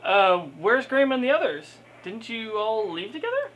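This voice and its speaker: Very high-voiced man hamming up lines. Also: very animated, normal speed, highs cut off. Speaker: amateur performer acting out his lines. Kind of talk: exaggerated live comic script reading. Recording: live room, faint noise, off-mic.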